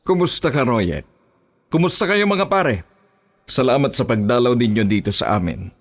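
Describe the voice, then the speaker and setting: Casting: male actor as confident, friendly monster-hunting bladesmith, medium pitch, horror audio drama. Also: animated, normal speed, natural voice. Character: Aldo, affable confident male aswang slayer, blade seller.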